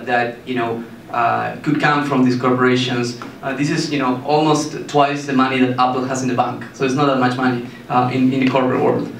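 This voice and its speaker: A man from Argentina in his thirties, a startup founder giving a presentation with a handheld mic, speaking haltingly with many fillers and restarts. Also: medium pitch, a bit bright. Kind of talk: presentation to an audience. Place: meeting room with a projector screen, indoors. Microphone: handheld mic, filmed by a far camera. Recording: echoey room, mic far off, faint hiss.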